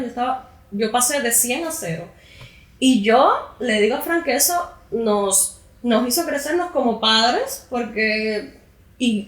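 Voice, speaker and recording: A woman's voice: sits high, a bit bright, echoey room, recorded from a distance. A woman with a Cuban accent, a guest telling her own story candidly in a casual conversation. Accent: Cuban Spanish. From Cuba. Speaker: guest, emigrant mother.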